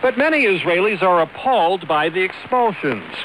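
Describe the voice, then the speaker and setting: A man's voice: high voice, very animated, normal speed. A man reading a scripted news report over shortwave radio. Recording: noisy hissing shortwave radio reception.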